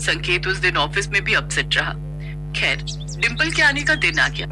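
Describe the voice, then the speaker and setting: A woman's voice: lowish voice, sing-song, moderate pace. A woman narrating a scripted story as a storyteller. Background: music.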